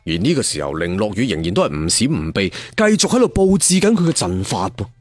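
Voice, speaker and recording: Husky, wildly animated male storyteller. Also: on the low side, a little fast, no echo, soft background music. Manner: theatrical, traditional storyteller phrasing with rhetorical asides. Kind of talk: dramatic Cantonese audiobook storytelling.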